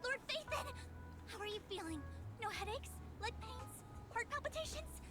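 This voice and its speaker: Very high, fretful devoted girl. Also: lively intonation, normal speed, sharp tone, soft background music, heavily acted. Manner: fretful, gushing, formal address. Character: anxious, devoted, fussing girlish young woman. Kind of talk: scripted video game dialogue.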